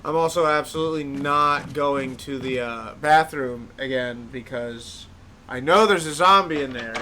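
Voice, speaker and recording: A man's voice: mid-range voice, a little slow, pushed and bright, a little hiss or hum. A man casually commentating his live gameplay at home, joking and chatty. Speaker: game streamer. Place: home, indoors. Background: game music.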